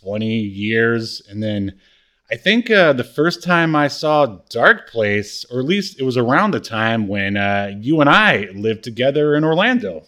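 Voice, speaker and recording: Male podcast co-host, mid-pitched, casual chat delivery with playful hyperbole. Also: big pitch swings, a little slow, bright, forceful tone.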